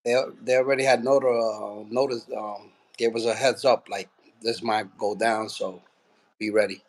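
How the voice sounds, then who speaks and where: A man from Puerto Rico, a boxing trainer, telling his story in a relaxed, rambling way with lots of fillers. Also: on the low side, normal speed, low-quality recording. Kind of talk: casual interview.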